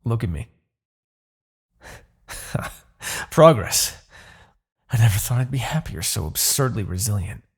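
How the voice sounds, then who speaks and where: A man voicing an arrogant, brooding demon king in a fantasy ASMR roleplay, condescending and theatrical, with a husky, gravelly low voice and pauses. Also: lively intonation, heavily acted. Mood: focused, coaxing. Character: arrogant demon king, gloating yet begrudgingly attached captor.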